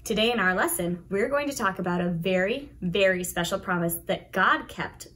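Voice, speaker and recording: Woman, thirties, children's show host, encouraging, sing-song, swooping lively pitch. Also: normal speed. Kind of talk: presenting to young children.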